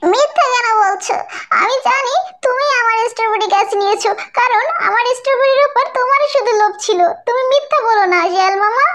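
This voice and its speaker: A woman's voice: extremely high pitch, a little fast, a bit bright. A woman voicing a hot-tempered, hardworking mother bird in a children's cartoon, scolding and plaintive. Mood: angry, accusing. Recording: music behind the voice.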